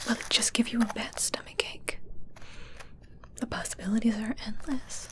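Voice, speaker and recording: A woman playing a curious, callous witch who tests her potions, in a slow, breathy whisper for an ASMR roleplay. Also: fairly high pitch, lightly acted. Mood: sly curiosity.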